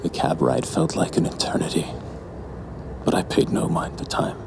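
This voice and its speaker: A man playing a brooding, lustful, menacing narrator in a horror audio drama, with slow, deliberate, breathy delivery. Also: background noise, natural voice. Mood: brooding, smug. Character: brooding, lustful, menacing male narrator recounting a dark memory.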